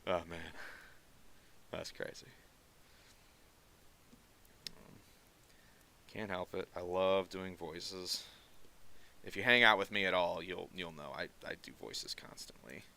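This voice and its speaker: A man's voice: low-pitched, vocal fry, pushed and bright, a little hiss or hum. A man with a nasal voice that sounds sarcastic by default, chatting casually with viewers while he draws on a stream. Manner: rambling, quick asides and mutters while working. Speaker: streaming artist.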